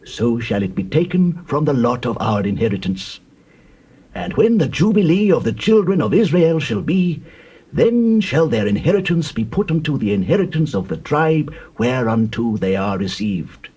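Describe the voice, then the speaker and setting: An earnest clan chief in a scripture audio drama. His voice is rough-edged and medium in pitch, with sweeping rises and falls. He declaims slowly and formally. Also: sing-song, dark tone. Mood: earnest, petitioning. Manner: declamatory, deliberate, swelling and falling phrases.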